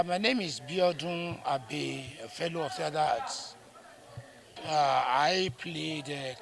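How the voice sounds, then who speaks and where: Gravelly Nigerian man in a reflective interview. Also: medium pitch. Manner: deliberate, halting, frequent pauses and repeated phrases. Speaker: stage actor being interviewed.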